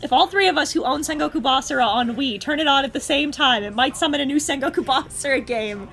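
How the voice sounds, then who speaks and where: High-voiced excitable woman streamer. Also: fast talker. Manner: excitable, chatty, bursts into squeals. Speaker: streamer host. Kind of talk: casual stream chat reacting to game trailers.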